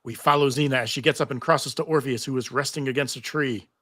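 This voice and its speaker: Bright-voiced man narrating a script. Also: medium pitch, steady tone, fast talker, no echo, clean studio sound. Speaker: narrator reading stage directions. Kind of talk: scripted narration at live table read.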